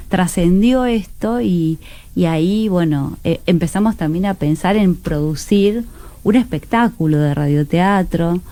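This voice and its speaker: A woman with a Rioplatense Argentine accent chats as a radio interview guest. Her voice is slightly low and warmly dark. The sound is very dry, with hardly any room around it. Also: no room sound.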